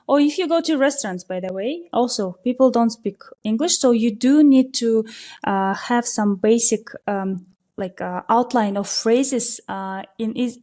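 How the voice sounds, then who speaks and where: A woman from Kyrgyzstan, a local tour operator, answering interview questions in a relaxed, explanatory way with frequent fillers. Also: fairly high pitch. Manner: explanatory, many fillers, steady flow. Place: podcast recording.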